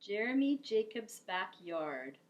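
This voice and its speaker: A woman reading a children's storybook aloud expressively, as a teacher would, with dramatic pauses. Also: mid-range voice, very animated.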